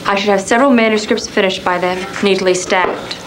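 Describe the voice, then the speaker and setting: An actress playing a writer's musing inner voice, in measured, poetic radio-play narration on an old analog recording. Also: female voice, medium pitch, steady tone, close to an everyday voice. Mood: wistful. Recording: noticeable ambient hiss, old analog transfer.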